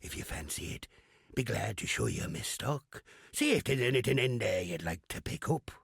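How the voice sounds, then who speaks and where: A crusty old captain for a video game, roguish and grumbling. The voice is heavily gravelled and very hoarse. It swings widely in pitch through theatrical, salty sailor talk. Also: male voice, sing-song, normal speed, a bit bright, no room sound, clean studio sound, exaggerated voice. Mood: friendly. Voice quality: gravelly, raspy. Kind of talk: video game character acting.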